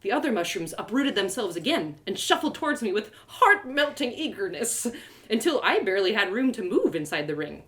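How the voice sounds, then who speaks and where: A woman reading a first-person story aloud with expressive, playful, dramatic delivery. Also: mid-range voice, brisk. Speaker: author reading a story live.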